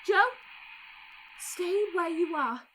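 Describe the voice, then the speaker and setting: High-pitched, emotional young mother. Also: lively intonation, slow pace, pushed and bright, exaggerated voice, rough recording. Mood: soothing, pleading. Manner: emotional, coaxing, pleading. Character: distraught, protective young mother searching for her child. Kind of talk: audio drama acting.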